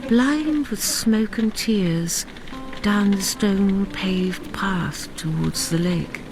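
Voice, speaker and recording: A woman narrating a radio drama in a measured, solemn, scripted storytelling manner. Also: medium pitch, over music, poor audio.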